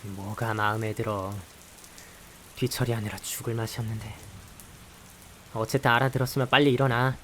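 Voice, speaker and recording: A man playing an exasperated male high school student in a visual novel, blunt, dry and sarcastic, with a sighing delivery. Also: normal speed, pauses often, natural voice. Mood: grumbling, annoyed. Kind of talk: visual novel voice acting with some first-person narration. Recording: hiss with steady rain behind.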